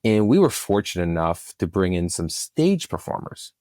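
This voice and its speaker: A man with a lowish voice answers as a podcast guest. He is casual and enthusiastic, often circling back mid-thought, in a dry, close-sounding recording. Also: very animated, normal speed, warm tone, dry recording.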